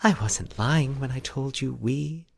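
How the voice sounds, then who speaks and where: A man's voice: mid-range voice, a little slow, vocal fry, pitched above the performer's own voice. A man voicing a sinister, coy woman who coos and hisses with teasing menace in a horror story. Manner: cooing, hissing, teasing menace. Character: predatory woman, coy, sinister, with monstrous sharp teeth.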